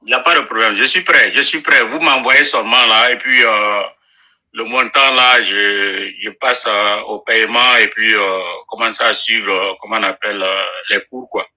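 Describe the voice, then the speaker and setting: Hesitant man with very bright voice. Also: fairly low pitch, fairly even intonation, moderate pace. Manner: hesitant, searching for words. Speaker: caller, rideshare driver. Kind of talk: casual call.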